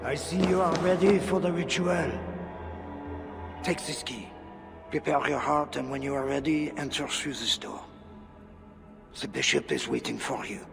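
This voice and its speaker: An actor playing men of a medieval church in a video game cutscene. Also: male voice, fairly high pitch, lively intonation, a little slow, gravelly, pauses often, loud music behind the voice. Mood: solemn.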